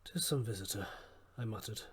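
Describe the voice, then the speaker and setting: Husky, theatrical man acting grieving and haunted. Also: on the low side, brisk, voice pushed down. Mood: weary, brooding. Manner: theatrical, swinging from hushed murmurs to loud cries. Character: grieving, haunted man, fearful and agitated, narrating gothic poem. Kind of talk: dramatic poetry recitation.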